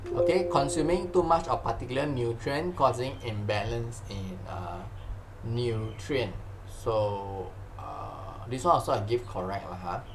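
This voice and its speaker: A man's voice: medium pitch, a little slow, a little hiss or hum. A man with a Malaysian accent teaching science informally, repeating phrases and tagging sentences with "lah" and "huh". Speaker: science tutor. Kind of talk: informal exam-question lesson.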